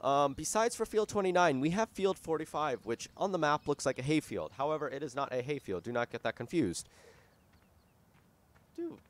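A hoarse young man who is losing his voice, giving rambling, casual commentary over a farming game at his desk microphone, with lots of fillers and restarts. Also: fairly high pitch, animated, moderate pace. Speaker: gaming YouTuber. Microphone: desktop microphone.

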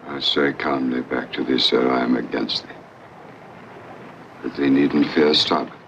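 An actor playing a principled, steadfast middle-aged first mate on a whaler, formal and earnest, in 1950s film style. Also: male voice, a bass voice, fairly even intonation, measured pace, gravelly, a bit bright, full character voice. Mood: grave, defiant. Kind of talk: 1950s film drama acting. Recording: dull, noisy 1950s film soundtrack.

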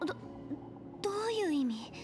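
A gentle young girl in anime-style game dialogue. The voice is high and soft, earnest with quiet determination, with music clearly audible behind it. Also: sing-song, normal speed. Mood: dismayed. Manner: soft-spoken, sincere. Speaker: game voice actor. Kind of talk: Japanese game story dialogue. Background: game background music.

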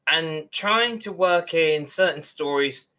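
A man's voice: a little high, slow pace, bright, forceful tone. A young man co-hosting a podcast, giving his opinions in casual discussion over a video call. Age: young adult. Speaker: podcast co-host. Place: small room at home, on a video call.

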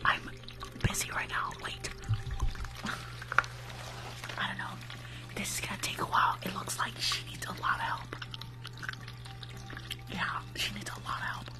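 A woman's voice: measured pace, pauses often, over loud music, close to an everyday voice. A young woman playing a rude, judgmental spa receptionist in an ASMR roleplay, snarky and condescending, close to the microphone. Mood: dismissive, snide. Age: young adult. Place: small room at home, indoors. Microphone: close furry-windscreened condenser microphone.

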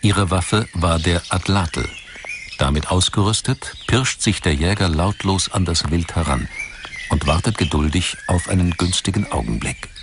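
A man narrating a scripted documentary in a measured, articulate manner. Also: deep voice, background noise.

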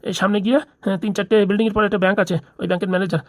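A woman plays a well-off, henpecked man in a comedy cartoon, soft-hearted and easily fooled. The words tumble out extremely fast in an earnest, fussing way. The recording is close and dry. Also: medium pitch, fairly even intonation, no room sound, lightly acted. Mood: concerned, consoling.

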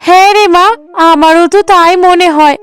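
A very high-pitched, aggrieved older mother played by a woman in a cartoon melodrama. She complains bitterly, with open scorn for those who disobey her. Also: fairly even intonation, normal speed, soft background music. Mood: aggrieved disbelief. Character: bitter, disapproving older mother of a grown son.

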